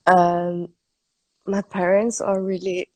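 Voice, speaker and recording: Woman, twenties, fairly low pitch, informal English, dry sound. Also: level tone, takes it slowly, dry recording. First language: German. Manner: informal, searching for English words. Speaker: guest, tattoo artist. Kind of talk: casual interview chat.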